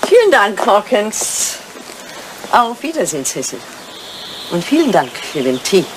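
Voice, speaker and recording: Animated, teasing elderly lady. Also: medium pitch, a little slow, a little hiss or hum, rough recording. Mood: gracious. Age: older. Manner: dry, probing, teasing. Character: elderly English lady, teasing, sharp-tongued widow. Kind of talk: German radio play acting.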